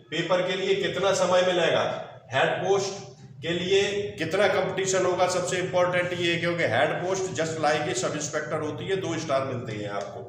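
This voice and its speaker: A very echoey, distant recording of a man. His voice is high for a man. He explains point by point like a coaching teacher, instructive and reassuring, dropping English terms into his speech. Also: a bit bright, a little hiss or hum. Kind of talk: explanatory online lesson, point by point. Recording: very echoey room, distant mic.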